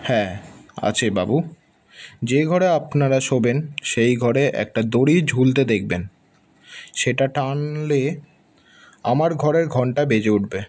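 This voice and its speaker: A man's voice: mid-range voice, lively intonation, takes it slowly, pauses often, darkish tone. An actor playing a deferential middle-aged male caretaker of an old mansion in an audio drama. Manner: deferential, polite, calls others sir.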